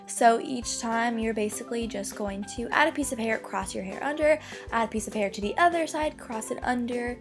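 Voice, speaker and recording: A young woman talking through a hair tutorial in a casual, chatty way, her voice a little hoarse from losing it. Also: fairly high pitch, a little fast, soft background music. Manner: chatty, upbeat, many "like" fillers. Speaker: beauty vlogger. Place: bedroom.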